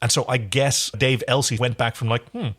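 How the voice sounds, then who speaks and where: Guy co-hosting a tv recap podcast, normal pitch, really into it, casual chat. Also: steady tone, normal speed, fairly bright tone. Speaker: podcast co-host.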